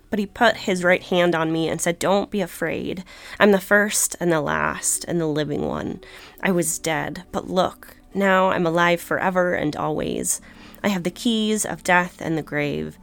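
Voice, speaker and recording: A woman's voice: fairly low pitch, creaky. A woman reading scripture aloud as the reader of an epistle. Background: faint acoustic guitar music.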